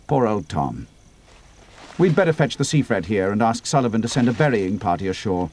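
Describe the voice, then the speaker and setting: A man's voice: lowish voice, sing-song, normal speed, a little mellow. A man voicing a grave, decisive young air adventurer in a storybook reading, with a terse, commanding manner. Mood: grim, angry. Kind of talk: dramatic audiobook character reading.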